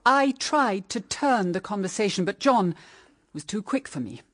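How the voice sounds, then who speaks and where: A woman's voice: mid-range voice, expressive, unhurried, fairly bright tone, no room sound. An actress playing a stern, moralising middle-aged English mother in a period radio drama, with formal, emphatic diction. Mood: grave recounting.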